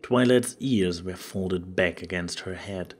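A man's voice: low-pitched, measured pace. A man reading scripted story narration.

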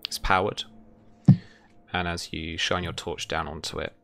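A young man with a deep, bright, forward voice acts as game master. He narrates the scene casually, with music playing behind him. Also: flat delivery, a little slow, gravelly. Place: indoor room with bookshelves, on a video call.

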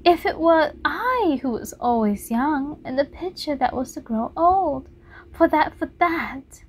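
A woman's voice: high-pitched, lively intonation, measured pace, a little hiss or hum, voice pushed up. A woman voicing a vain young man who is anguished about growing old, speaking in an impassioned, pleading way. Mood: yearning.

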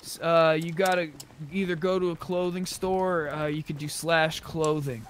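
A man's voice: a little high, steady tone, moderate pace. A man chatting casually as he guides other players in an online roleplay game. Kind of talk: casual chat while roleplaying in a video game. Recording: faint keyboard and game background noise.

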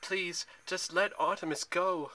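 A man's voice: mid-range voice, unhurried, pushed and bright, a little hiss or hum. A man voicing a serious, devoted young warrior woman in a storybook, pleading and grave.

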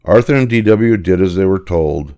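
A man's voice: low-pitched, moderate pace, mellow, clean tone. An older man reading a children's picture book aloud as narrator. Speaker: storybook reader.

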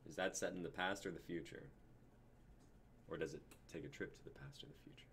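Deep voiced young guy hosting a stream, some noise and typing behind him. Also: a little fast. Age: young adult. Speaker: host. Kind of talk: casual live stream hosting.

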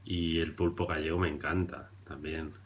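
A man in his thirties from Barcelona, commenting on video clips in a dry, ironic way, with hesitant fillers and pauses, recorded on a webcam indoors. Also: a bass voice, flat delivery. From Barcelona, Spain. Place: indoor room before a printed backdrop.

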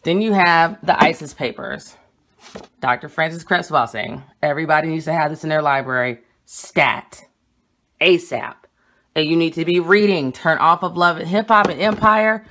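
A young woman with a low voice speaks into a phone held at arm's length in a small room at home. She talks bluntly and with emphasis, hurrying through some lines and slowing down to press her points. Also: a bit bright, a little hiss or hum. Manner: emphatic, blunt and quick-talking. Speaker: vlogger. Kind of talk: casual home vlog showing books. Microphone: phone camera held at arm's length.

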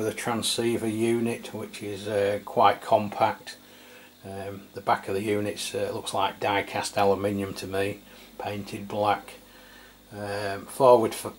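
A middle-aged man from England giving an unscripted, casual product review in a hesitant, matter-of-fact way. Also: lowish voice. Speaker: radio reviewer. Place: indoors at a tabletop. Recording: clear steady background noise, room ambience.

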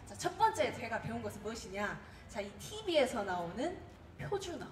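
A woman in her thirties from Gwangju with a Jeolla accent gives a humorous prepared speech, telling stories in a witty, animated way. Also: on the high side, normal speed. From Gwangju, South Korea. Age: 30s. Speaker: contest participant. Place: event hall. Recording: roomy hall, distant mic, faint noise.

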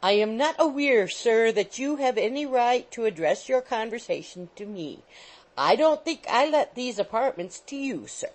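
A woman voicing an indignant, quarrelsome middle-aged landlady, in a loud scolding tirade. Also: medium pitch, very animated, moderate pace, hardly any pauses. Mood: haughty, cold. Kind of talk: audiobook character voice.

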